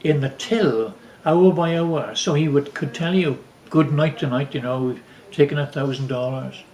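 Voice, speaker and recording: A man's voice: fairly high pitch, darkish tone. Older man reminiscing in an echoey room. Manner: halting, repeats words as he recalls. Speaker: father telling family stories. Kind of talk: casual storytelling, reminiscing. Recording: roomy, echoey home recording.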